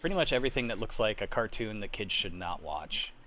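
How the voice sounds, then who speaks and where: A man's voice: lowish voice, big pitch swings, brisk, pushed and bright, slight background noise. A man in his thirties chatting casually on a group call, blunt and slangy. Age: 30s. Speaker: podcast cast member. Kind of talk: casual chat.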